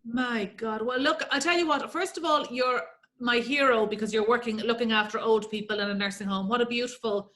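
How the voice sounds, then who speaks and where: A woman's voice: on the high side, a little fast, a bit bright. An Irish woman hosting a video-call interview, effusive and gushing with praise in long run-on sentences. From Ireland. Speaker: host. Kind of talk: casual interview conversation.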